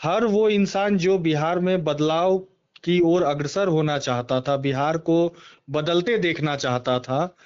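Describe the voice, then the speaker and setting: A high-voiced man speaks slowly and clearly on a muffled phone line. He talks politics as an interviewee, stressing his points and repeating words of agreement. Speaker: political party member and former election candidate, interviewee. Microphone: phone call. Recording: dull, band-limited phone call recording.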